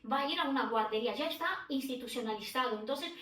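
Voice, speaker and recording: A middle-aged woman talks reflectively on a podcast, often pausing and restarting her sentences. She sits some distance from the mic in an echoey room. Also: high-pitched, fairly bright tone. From Romania. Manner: reflective, many restarts and fillers. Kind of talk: podcast conversation.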